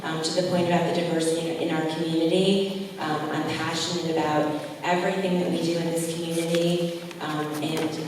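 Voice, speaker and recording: A middle-aged woman making informal, off-the-cuff closing remarks as a school board candidate at a public forum. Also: fairly low pitch. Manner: informal, loosely structured speaking. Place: large echoey room at a public forum. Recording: echoey hall from far off, clear noise.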